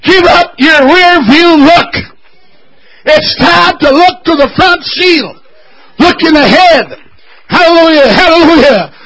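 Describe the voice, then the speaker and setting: A man preaching an impassioned sermon in church, shouting with his voice breaking from emotion. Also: very high-pitched, expressive, slow pace, poor audio. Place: church service.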